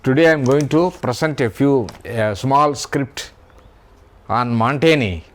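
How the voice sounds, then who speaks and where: A man with an Indian accent, hosting his own channel and talking about literature in a slow, careful way, sometimes spelling words out letter by letter. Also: mid-range voice. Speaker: YouTube host, writer.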